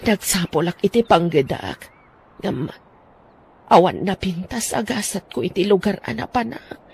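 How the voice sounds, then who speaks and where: A woman's voice: medium pitch, lively intonation, gravelly, darkish tone, slightly rough, heavily acted. A woman playing a remorseful estranged wife asking forgiveness in an Ilocano radio drama. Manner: slow, remorseful.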